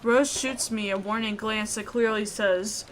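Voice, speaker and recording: A woman reading a visual novel aloud in an expressive way, with reactions as a gaming YouTuber. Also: medium pitch, a little slow, slight background noise, poor audio.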